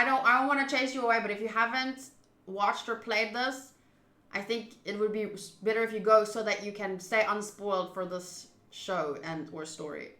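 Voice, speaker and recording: A woman narrates a visual novel for a live stream and chats between passages. Her voice is bright and clear-cut in tone, with an expressive, chatty delivery. The sound is noticeably echoey, as from a reflective room. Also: medium pitch. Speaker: game streamer. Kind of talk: live visual novel playthrough, reading text aloud and chatting.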